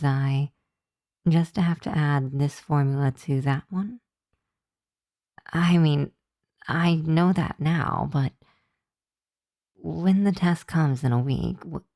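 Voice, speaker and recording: Woman doing a teasing vampire college girl, asmr roleplay, mellow slow voice, very dry close sound, playful. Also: low voice, no echo. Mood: reluctant, earnest. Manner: playful, teasing, drawn-out delivery with breathy asides.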